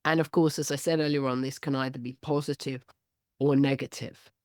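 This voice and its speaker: Low, hoarse woman host with a cold, earnest solo podcast talk, dry close mic. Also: steady tone, fairly quick, a little mellow, dry recording. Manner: earnest, explanatory.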